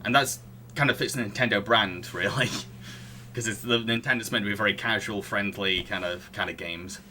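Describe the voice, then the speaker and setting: A man's voice: fairly low pitch, normal speed, bright, forceful tone, slight background noise. A man hosting a casual podcast discussion, often chuckling as he talks. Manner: chuckles often while talking. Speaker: podcast host.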